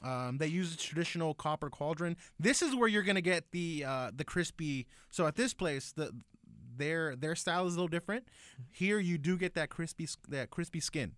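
A man's voice: fairly high pitch, normal speed, fairly bright tone, clear, resonant tone, no room sound, clean studio sound. A man co-hosting a casual food chat, talking in a laid-back, slangy way. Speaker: podcast co-host.

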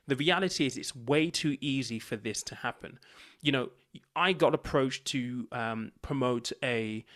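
A man's voice: medium pitch, a bit bright. A man based in the UK, a financial coach and podcast guest, telling stories earnestly and acting out his own conversations. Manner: earnest, explanatory, acts out dialogue. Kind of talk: conversational storytelling.

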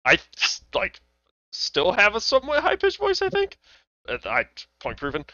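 A man's voice: high voice, moderate pace, no room sound. Laughing young man hosting a lo-fi podcast. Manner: laughs often, lively and joking. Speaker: podcast host. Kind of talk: casual podcast banter.

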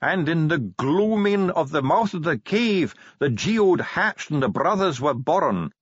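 A man voicing an earnest, scholarly dwarf translator in an audiobook, reciting ancient lore in slow, archaic, declamatory phrases. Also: a little high, sing-song, slightly rough, dry recording, muffled recording, pitched above the performer's own voice, heavily acted. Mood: solemn reciting.

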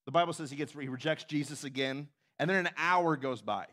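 Animated conversational man preaching. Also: fairly high pitch, fairly quick. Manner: animated, acts out quotes with raised voice; conversational, frequent "right?". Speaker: pastor. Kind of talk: sermon.

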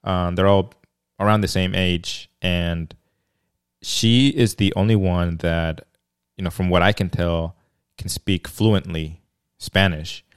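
Male podcast host from Mexico, deep and smooth, unaccented English, unhurried and halting. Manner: relaxed, hesitant, many fillers and restarts. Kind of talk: casual chat.

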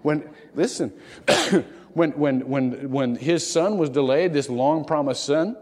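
A man's voice: a little high, slow pace, a little mellow, big-room sound. A man preaching a sermon as pastor, telling stories in a folksy, joking way and briefly acting out the voices of the people he talks about. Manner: folksy, humorous storytelling with acted-out voices.

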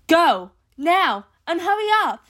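A woman voicing a brisk, confident, take-charge female special agent in an audio drama. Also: very high-pitched, sing-song, a bit bright, voice pushed up, exaggerated voice. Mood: brisk, commanding.

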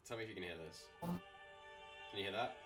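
A man's voice: fairly low pitch, sing-song, fast, a bit bright, soft background music. A young man in a laid-back podcast chat, steering the topics. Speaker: podcast co-host. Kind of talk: casual chat.

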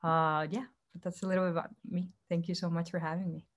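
A woman's voice: fairly low pitch, little pitch movement, quick pace. A woman from Costa Rica, a native Spanish speaker, speaking about herself as a panelist in a discussion. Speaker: panelist, startup co-founder. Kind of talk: panel discussion, personal account.

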